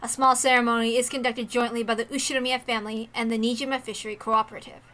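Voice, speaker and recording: A woman's voice: on the high side, little pitch movement, fast talker, a bit bright. A woman reading visual novel text aloud expressively as a streamer, with casual asides in between. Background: game soundtrack music.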